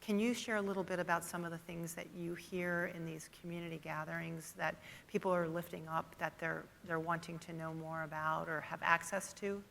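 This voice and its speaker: Gravelly low-voiced woman moderator. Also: little pitch movement, brisk, hardly any pauses, fairly bright tone, a little hiss or hum. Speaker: panel moderator. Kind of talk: panel discussion. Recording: long reverb of a large hall with faint ambience.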